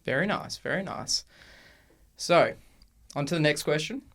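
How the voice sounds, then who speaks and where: A man in his twenties with an Australian accent, co-hosting a casual podcast and chatting in a relaxed way with frequent ums and restarts. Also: a little high, unhurried. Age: 20s. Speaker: podcast co-host. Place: university room.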